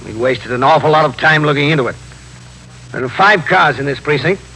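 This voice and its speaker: A stern American police captain speaks in a bright, creaky, fairly high male voice. He is plain and clipped, in the manner of 1950s radio drama, over a slightly noisy old broadcast recording. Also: lively intonation, fairly quick. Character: weary, firm police captain of a New York precinct. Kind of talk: 1950s radio drama acting and first-person narration.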